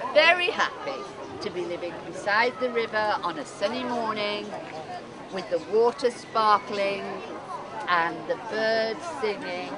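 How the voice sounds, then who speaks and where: A woman telling a story live to an audience outdoors, with dramatic pauses. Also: mid-range voice. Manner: dramatic pauses, acts out dialogue. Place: outdoors at a festival. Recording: outdoor festival with loud crowd noise. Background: loud crowd and outdoor bustle.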